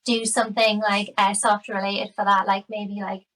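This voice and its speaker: A woman in her late thirties with a Geordie accent, chatting casually and readily. Her pitch stays fairly even, and she sounds a little distant from the microphone. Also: moderate pace. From Newcastle area, England. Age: late 30s. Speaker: podcast guest. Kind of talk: casual chat.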